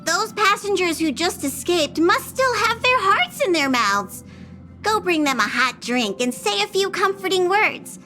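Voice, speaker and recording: A woman's voice: very high-pitched, very animated, a little slow, sharp tone, faint music behind, exaggerated voice. A woman voicing a small, bossy, fretful train conductor creature in a video game, with a scolding manner. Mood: brisk, caring.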